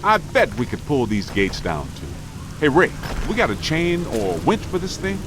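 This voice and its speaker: A man's voice: on the high side, wildly swooping pitch, moderate pace, noisy background. An actor playing an easygoing, wisecracking working-man ghost hunter in a video game, colloquial and wry. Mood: casual, practical.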